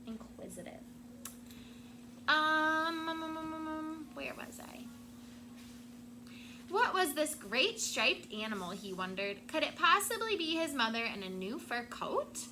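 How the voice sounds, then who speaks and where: Teacher lady reading a kids story to her class, kinda high voice, really expressive, noisy classroom in the background. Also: a little slow, pauses often. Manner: expressive storytelling, stops to explain words. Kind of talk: reading a picture book aloud to a class.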